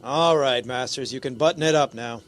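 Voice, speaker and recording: A man's voice: mid-range voice, normal speed, a bit bright, slight background noise, close to an everyday voice. Firm, commanding spaceship captain played by a man. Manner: commanding, clipped orders. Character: firm, cautious male spaceship captain commanding a landing party. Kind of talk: science fiction radio drama acting.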